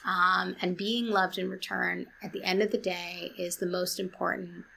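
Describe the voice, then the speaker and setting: A woman speaking as a guest therapist in an interview, calm-voiced and earnest, often restarting and quoting books. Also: on the low side, normal speed, vocal fry, slightly rough, rough recording. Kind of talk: reflective interview talk.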